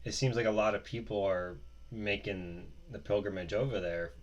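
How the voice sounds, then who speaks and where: A man hosting a podcast in a relaxed way, mostly giving short replies and asking easygoing questions. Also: lowish voice, normal speed, highs cut off. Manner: laid-back, short backchannel replies. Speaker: podcast host. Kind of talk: casual chat.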